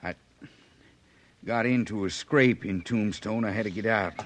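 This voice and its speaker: An actor playing a wounded, defensive fugitive in an old radio western. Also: male voice, lowish voice, measured pace. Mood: weary. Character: wounded, pleading fugitive man protesting his innocence. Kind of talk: 1950s radio western acting.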